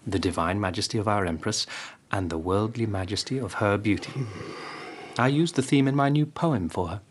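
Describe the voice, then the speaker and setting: An actor in a radio drama playing a young, romantic, carefree courtier poet, with a husky voice. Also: male voice, on the low side, normal speed, slight background noise, close to an everyday voice. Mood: airy, romantic. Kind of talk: BBC radio drama acting.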